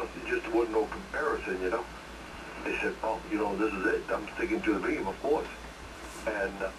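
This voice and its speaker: A man chatting casually as an amateur radio operator over a shortwave link, rambling in a folksy way with lots of "you know". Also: medium pitch. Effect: shortwave radio transmission. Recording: noisy distant ham radio signal.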